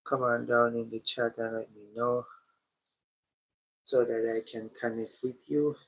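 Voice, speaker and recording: Stuffy-nosed man with a gravelly voice. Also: medium pitch, flat delivery. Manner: slow, repetitive, addresses the chat directly. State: stuffy, congested from a cold. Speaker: livestream host. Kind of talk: casual live-stream chat with viewers. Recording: dull lo-fi stream audio.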